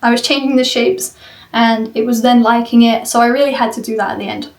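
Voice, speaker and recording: A woman's voice: a little high, steady tone, fairly quick. A woman giving an informal walkthrough of something she built, rambling in a candid, self-deprecating way. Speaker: maker presenting a cosplay prop she built. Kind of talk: informal video walkthrough and review of a build. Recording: roomy echo, far from the mic.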